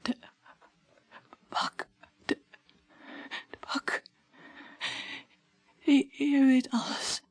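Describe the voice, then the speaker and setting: An actress playing a dying hospital patient in radio drama, gasping out broken, repeated words in pain with a weak, breathy whisper. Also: female voice, high-pitched, level tone, heavily acted. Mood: weak, anguished. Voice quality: breathy whisper, barely voiced. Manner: halting, fragmented, repeating words.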